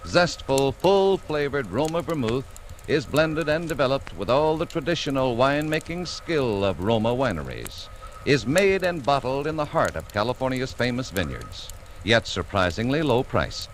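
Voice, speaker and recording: A man's voice: mid-range voice, big pitch swings, normal speed. A man with an American accent reading sponsor copy as a 1940s radio announcer. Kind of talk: 1940s radio advertising read. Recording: noisy 1940s radio recording.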